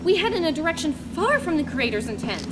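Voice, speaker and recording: A woman's voice: sits high, very animated, fairly quick, heavy background noise. A woman reading the part of an earnest, solemn man who lives alone in the woods and writes, a little defensive. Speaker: reader in a dramatic reading.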